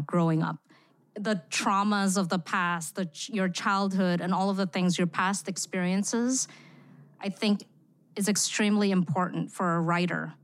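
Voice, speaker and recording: A woman's voice: lowish voice, no room sound. A woman raised in Singapore, a writer speaking as a podcast guest in a reflective way, with many "you know" fillers. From born in Indonesia, raised in Singapore. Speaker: guest, immersive experience writer. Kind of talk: podcast interview.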